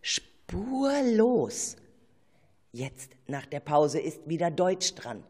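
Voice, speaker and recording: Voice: mid-range voice, sing-song, very slow, darkish tone, slightly rough, big-room sound. A narrator telling a children's radio play with a dramatic storytelling delivery.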